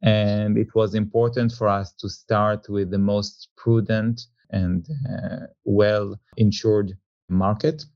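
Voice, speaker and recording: A man's voice: fairly low pitch, level tone, slow, no room sound, highs cut off. A man who is not a native German speaker, a company executive giving earnest interview answers that mix English and German words. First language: not German; father is German. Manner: earnest, repeats words, searches for phrasing. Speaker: insurance company executive guest. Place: office in Hamburg.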